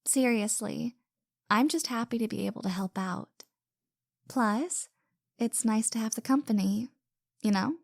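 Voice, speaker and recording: Woman acting as flustered young woman with a crush, audio drama, soft hesitant stammer, slow pace, dry close sound. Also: fairly high pitch, creaky, no room sound. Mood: shy, warm. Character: flustered, caring young woman hosting her secret crush. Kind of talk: intimate roleplay audio drama monologue.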